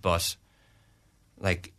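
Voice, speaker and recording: A young Irish man in his twenties, a student representative answering interview questions in a halting way, with many restarts and fillers. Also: a bit bright, slight background noise.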